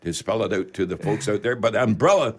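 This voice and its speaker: A man's voice: fairly low pitch, lively intonation, fairly quick, breathy edge, no room sound. A man hosting a live radio call-in show in a folksy manner, repeating his catchphrases.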